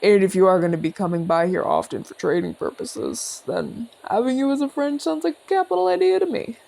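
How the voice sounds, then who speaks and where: A man voicing a genial retired orc general, calm and inquisitive, speaking courteously to the listener in an ASMR audio roleplay. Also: high voice, gravelly. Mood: friendly, curious. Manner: courteous, measured, chatty.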